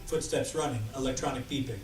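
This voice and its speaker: A man's voice: mid-range voice, quick pace, darkish tone. Roomy, distant male script narrator. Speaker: stage directions reader. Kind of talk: scripted narration at a table read. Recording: roomy, distant, music underneath.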